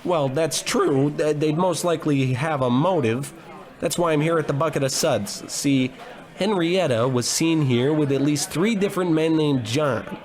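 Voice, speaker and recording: An actor playing a bumbling, self-important, grumbling private investigator in a comedy in old radio detective style. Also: male voice, a little high, moderate pace, darkish tone, close to an everyday voice. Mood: blustery, oblivious. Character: bumbling, blustery, gluttonous male private investigator.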